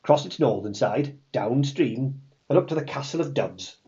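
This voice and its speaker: A man's voice: mid-range voice, expressive, moderate pace, dark tone, muffled recording, lightly acted. A man doing Merlin, with a Welsh accent. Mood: commanding. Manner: commanding, briefing tone. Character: Merlin, old male wizard giving orders.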